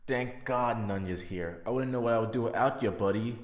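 A man's voice: mid-range voice, brisk, lightly acted. Exasperated, sarcastic schoolboy played by a man, echoey. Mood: sardonic. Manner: sarcastic, self-talking, crude. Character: exasperated, scheming schoolboy with a sarcastic inner monologue. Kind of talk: anime parody fan dub.

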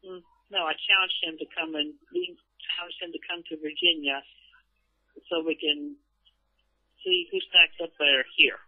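A man giving terse, halting answers in a hesitant phone conversation. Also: on the high side, steady tone, no echo. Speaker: interviewee, comic creator. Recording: muffled, narrowband phone line.

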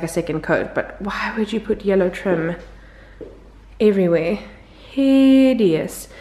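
A woman's voice: lowish voice, echoey room. A woman in her twenties chatting casually to a handheld camera, upbeat and full of filler words, filmed in empty rooms and a parked car. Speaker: vlogger. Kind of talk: casual vlog chat to camera. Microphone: handheld camera at arm's length.

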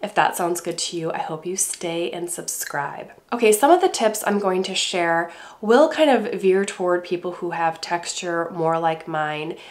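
A middle-aged woman with a congested voice from a cold, calmly explaining her hair care routine to camera at home. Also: on the low side, a little slow. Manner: calm, gentle, explaining step by step. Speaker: beauty vlogger. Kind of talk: casual talk to camera. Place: home room indoors.

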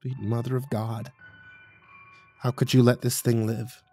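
A man playing a mean, suspicious cutthroat thug with a forceful, taunting manner in a horror audiobook. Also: medium pitch, lively intonation, normal speed, dry recording, faint music behind. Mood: horrified.

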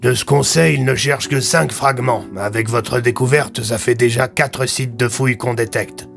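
A man plays an earnest character in a mystery audio drama. The voice is mid-pitched and full of gravelly creak, with light music behind. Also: fairly even intonation, normal speed, a bit bright. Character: earnest male officials and colleagues in a mystery.

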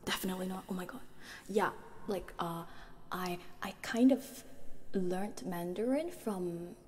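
A young woman streamer chatting in a soft, whispery ASMR voice, often giggling, close to the mic. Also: lowish voice, measured pace. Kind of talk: soft ASMR chat and singing. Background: soft guitar.

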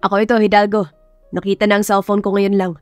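A woman's voice: mid-range voice, little pitch movement, fast talker, no room sound, faint music behind, natural voice. A woman voicing a stern, reserved but caring male professor, curt and authoritative, in an audio romance. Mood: gruff, pleased.

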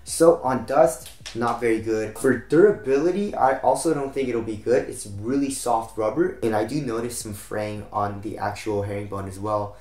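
A young American man with a slightly raspy voice, getting over a cold, giving a casual review to camera as a channel host. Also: fairly low pitch, normal speed, few pauses, darkish tone. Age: young adult. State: recovering from a cold. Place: small home room, indoors, at a desk. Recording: roomy echo.

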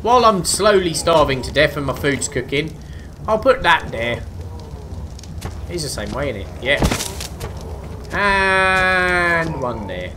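A man's voice: a little high, big pitch swings, slow pace, long pauses. Chatty man narrating gameplay. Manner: chatty, jokey, talks to himself and the animals. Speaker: let's play gamer. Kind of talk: casual gameplay commentary. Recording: game sounds and noise behind voice.

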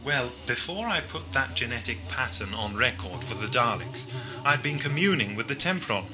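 A man's voice: mid-range voice, expressive, normal speed, close to an everyday voice. A man playing a genial, absent-minded time-travelling Doctor in a sci-fi audio drama, musing and hesitant. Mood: troubled musing. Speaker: actor. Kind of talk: 1980s amateur sci-fi audio drama acting. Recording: noisy old cassette audio drama.

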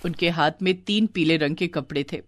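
A woman narrating a scripted story in an expressive style. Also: low-pitched, moderate pace, a little hiss or hum.

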